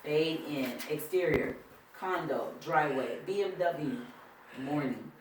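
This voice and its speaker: A reader works through screenplay directions in a slightly halting way. The voice is mid-pitched and picked up from a distance in an echoey room, with faint noise behind it. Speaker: table read participant reading stage directions. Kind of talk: reading screenplay directions aloud. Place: indoor room at a table reading.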